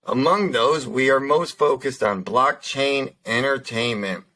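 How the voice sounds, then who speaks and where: Young guy hosting a podcast, bit raspy, lots of ums and restarts. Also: mid-range voice, pushed and bright, poor audio. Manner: halting, lots of fillers and restarts. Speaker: podcast co-host. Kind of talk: casual chat, reading news articles.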